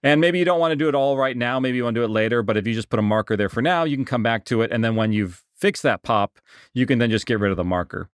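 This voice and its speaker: A man giving a casual software tutorial as an instructor, explaining things conversationally on a close mic. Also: medium pitch, fast, clean tone, no echo.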